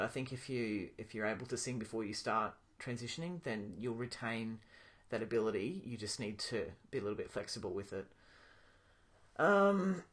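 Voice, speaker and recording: A young man talking casually to his camera in a video diary, pausing often with ums and restarting his sentences. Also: mid-range voice. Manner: relaxed, thinking aloud with many ums and restarts. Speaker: vlogger.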